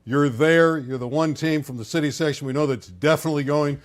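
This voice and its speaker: Sports show host dude, pretty upbeat, a little high pitched. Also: male voice, a little fast. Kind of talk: sports talk interview.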